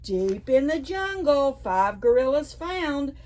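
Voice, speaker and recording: A woman presenting a library storytime, reading to preschoolers in a playful, deliberate way. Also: high voice, sing-song, a little mellow.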